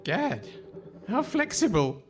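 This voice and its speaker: A woman's voice: a little high, big pitch swings, takes it slowly, darkish tone. A woman playing a fussy, eccentric old man with a theatrical, doddering manner in a comic radio play. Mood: fussy, curious. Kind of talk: Goon Show comic radio theatre acting. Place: live performance in a hall. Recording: noisy live stage recording.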